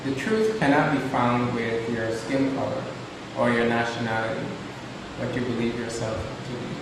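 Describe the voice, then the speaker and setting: Male spiritual teacher, flat even intonation, deliberate emphatic repetition, heavily reverberant distant recording. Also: mid-range voice. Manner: deliberate, rhetorical questions, repeats phrases for emphasis. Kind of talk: spiritual lecture. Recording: very echoey reverberant room, distant, loud hiss.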